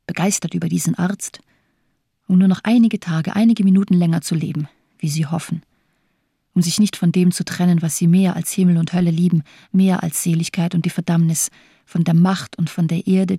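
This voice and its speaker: Dark-voiced, bitterly cynical woman doctor. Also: fairly low pitch, breathy edge, no room sound, clean studio sound. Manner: declamatory, sardonic, eloquent. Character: bitter, cynical morphine-addicted female hospital doctor. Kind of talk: radio drama monologue.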